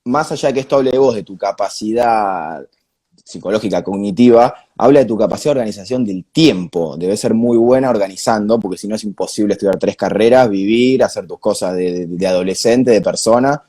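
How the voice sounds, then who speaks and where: Hombre porteño de voz algo apagada. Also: male voice, lowish voice, fairly quick, dark tone, husky. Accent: Buenos Aires Argentine Spanish. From Buenos Aires area, Argentina. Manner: colloquial, direct address. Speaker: interviewer. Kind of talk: informal conversational interview.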